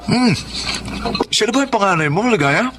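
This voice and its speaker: High-voiced, swooping, boorish Filipino husband. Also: male voice, expressive, normal speed, music in the background. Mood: jovial, teasing. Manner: needling, wheedling, bossy. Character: teasing, boorish, drunken bully of a husband. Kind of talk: Tagalog radio drama acting.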